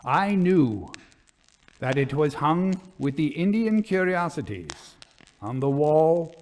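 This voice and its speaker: A man voicing a grave colonel who recounts a ghost story in a formal, deliberate, suspenseful way. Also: fairly high pitch, sing-song, a little mellow, pitched above the performer's own voice. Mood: horrified, solemn. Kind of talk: dramatic reading of an old ghost story.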